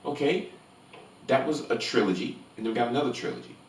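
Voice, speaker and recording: A man's voice: mid-range voice, lively intonation, fast, a little hiss or hum. A man giving a casual, enthusiastic film review to camera, gushing and emphatic, often repeating words for emphasis. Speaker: movie review vlogger. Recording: roomy echo, distant from microphone.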